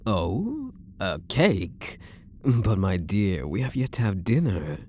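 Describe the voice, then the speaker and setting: A man's voice: lowish voice, very animated, slow pace, warm tone, slight background noise. A man playing a possessive, lovestruck elf prisoner in an intimate roleplay, teasing and theatrical, with doting endearments. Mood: teasing, flirtatious. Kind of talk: intimate ASMR roleplay acting.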